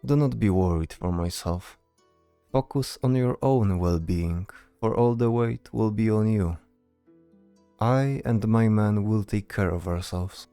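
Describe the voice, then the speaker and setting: A man's voice: fairly low pitch, measured pace, dark tone, clear, resonant tone, no echo, faint music behind. A man voicing a composed, commanding noble knight in a fantasy audio drama, speaking with formal authority.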